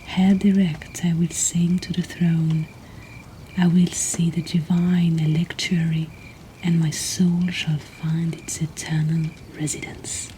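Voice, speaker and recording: A woman whispers in a breathy, soothing and intimate way, hosting and reading poetry in an ASMR style. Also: fairly low pitch, little pitch movement, slow, warm tone, slight background noise, rough recording. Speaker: host of a poetry reading series.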